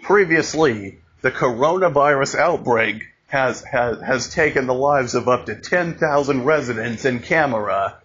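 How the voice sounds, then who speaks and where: Slow, halting man reading an emergency alert. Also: mid-range voice, fairly bright tone. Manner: halting, repeats and restarts phrases. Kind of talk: scripted emergency broadcast announcement. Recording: dull lo-fi audio, noticeable background noise.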